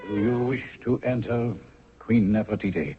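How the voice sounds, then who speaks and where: An actor playing a fearful, pious high priest guarding a tomb in a 1970s radio drama, with a husky voice. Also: male voice, fairly even intonation, normal speed, narrow, tinny audio, a little hiss or hum, natural voice. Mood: solemn. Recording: thin, band-limited vintage radio recording.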